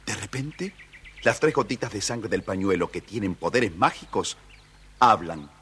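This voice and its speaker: A man's voice: lowish voice, animated, a little slow, noisy background. A man with an Argentine accent narrating a scripted children's story as the storyteller.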